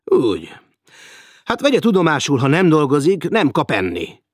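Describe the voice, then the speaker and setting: A man voicing a strict male hotel official who gives stern orders, with a husky voice. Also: a little high, huge pitch swings, dry recording, clean studio sound, pitched above the performer's own voice. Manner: stern and commanding.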